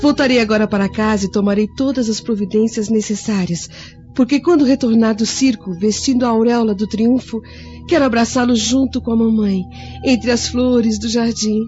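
A woman's voice: a little high, steady tone, moderate pace, darkish tone, lo-fi sound, soft background music, natural voice. A woman playing an affectionate young Roman noblewoman in a radio drama. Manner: eager, affectionate.

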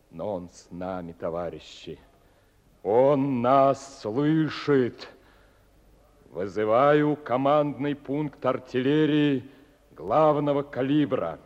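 A man's voice: medium pitch, darkish tone. An actor playing a solemn Soviet officer rallying his doomed comrades with declamatory delivery in a radio play. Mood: grave resolve. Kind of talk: theatrical Russian radio drama. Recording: muffled audio with long hall reverb.

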